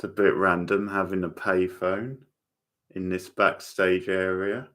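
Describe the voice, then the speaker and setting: A man's voice: sits low, flat delivery, unhurried, a bit bright. A man giving casual, off-the-cuff watchalong commentary as host of a reaction channel. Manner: off-the-cuff, sporadic remarks.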